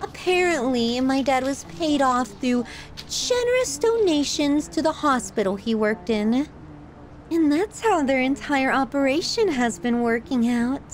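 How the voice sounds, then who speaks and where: Sadistic yandere lover, played by a woman for horror ASMR: obsessive, menacingly affectionate, very lively intonation, unhurried. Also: high-pitched, slight background noise. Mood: sweet, smug. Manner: theatrical, swings from sweet to scornful, sighing. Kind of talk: ASMR horror roleplay monologue.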